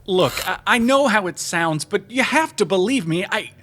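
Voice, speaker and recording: An actor playing a nervous, earnest, overeager small-business marketer in an audio drama, hesitant, pleading and prone to stammering. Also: male voice, sits high, lively intonation, moderate pace, background noise. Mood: desperate.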